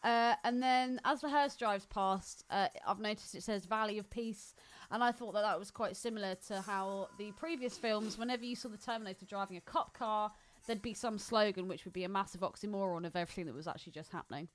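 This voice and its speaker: A woman's voice: mid-range voice, a little fast, few pauses. A woman co-hosting a podcast, chatting casually about a film in a blunt, sceptical way. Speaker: podcast co-host.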